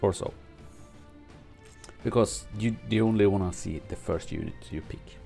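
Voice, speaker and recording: Man, gravelly, low voice, non-native English speaker, halting with fillers, home stream, faint music behind. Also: warm tone. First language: not English. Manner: halting, many fillers and restarts. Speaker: gaming streamer. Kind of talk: reading and commenting on game news. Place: home streaming room with purple lights.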